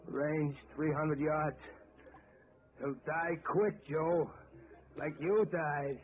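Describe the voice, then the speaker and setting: An unhinged, vengeful sniper. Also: male voice, a little high, steady tone, drawn-out words. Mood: muttering. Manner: muttering, then panicky and pleading. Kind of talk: 1940s radio acting. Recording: tinny old radio broadcast, hiss.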